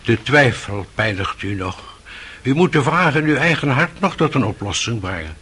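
Husky, solemn old monk. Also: male voice, mid-range voice, very animated, moderate pace. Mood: solemn blessing. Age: older. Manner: solemn, gently admonishing. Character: grave, compassionate elderly monk, a spiritual elder. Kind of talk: radio drama acting.

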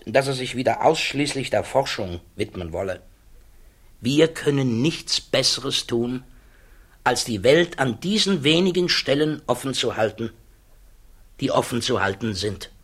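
A grave male physicist in a radio play, weighing his conscience aloud. His middle-register voice moves in formal, deliberate phrases, measured and reflective, in polished stage German. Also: mid-range voice, animated, a bit bright. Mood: resolute, regretful.

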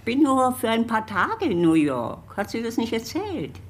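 A woman's voice: a little high, very animated, a little slow, a little hiss or hum. An actress playing a talkative old lady visiting from the countryside, in radio drama style. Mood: chatty. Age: older.

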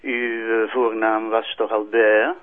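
An actor playing a smooth senior magistrate with a Flemish accent, soothing, diplomatic and patronising, heard through a telephone filter. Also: male voice, on the low side, completely flat delivery, slow pace. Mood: placating. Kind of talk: audio drama acting. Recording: thin, phone-like band.

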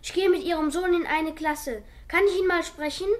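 A woman's voice: very high voice, steady tone, normal speed. A woman playing a clever, cheeky schoolboy in a noisy old 1950s radio play recording. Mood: bold, polite.

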